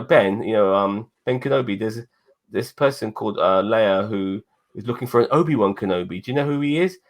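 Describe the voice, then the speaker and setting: A man in his forties, co-hosting a casual chat, rambling and swearing often as he talks. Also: fairly low pitch. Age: 40s. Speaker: podcast co-host.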